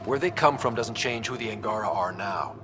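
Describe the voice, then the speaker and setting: A man's voice: fairly low pitch, a little fast, noisy background, natural voice. Man as a resolute young space explorer leader. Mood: earnest. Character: young heroic male space explorer leading his squad. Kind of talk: scripted video game cutscene acting.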